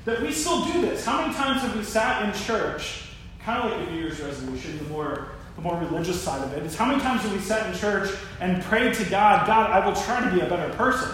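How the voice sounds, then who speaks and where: Man in his 30s, pastor preaching, high voice, earnest and restarting, very echoey church room. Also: expressive, a little fast, fairly bright tone. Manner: earnest, repeats words while thinking aloud. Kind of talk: sermon. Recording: very echoey room, faint noise.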